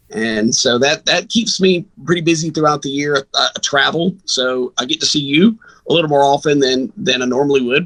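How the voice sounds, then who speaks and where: A man with a bright, forceful voice speaks at a middle pitch. He sounds like a sports broadcaster being interviewed, explaining things in a conversational way. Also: poor audio. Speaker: guest, radio sports broadcaster.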